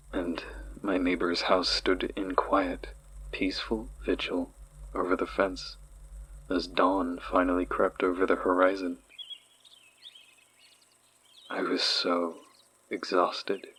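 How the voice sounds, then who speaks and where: An actor playing a haunted man recalling childhood horrors in a horror audio drama, with a husky voice. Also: low voice, completely flat delivery, measured pace, fairly bright tone, slight background noise, rough recording. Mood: haunted, weary. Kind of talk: dramatic first-person horror narration.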